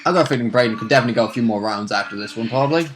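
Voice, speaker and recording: Quick-talking man chatting about a tabletop game. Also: medium pitch, background noise. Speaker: co-host and player reacting to the recap.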